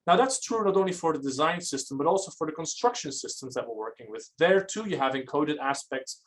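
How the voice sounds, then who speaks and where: Higher-voiced man giving a lecture. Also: lively intonation, fairly quick. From Belgium. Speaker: architecture lecturer. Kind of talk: academic lecture.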